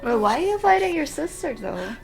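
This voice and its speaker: A woman's voice: high voice, sing-song, fairly quick, gravelly, music in the background. A woman streaming a game with casual let's play commentary, musing aloud as she reacts to it.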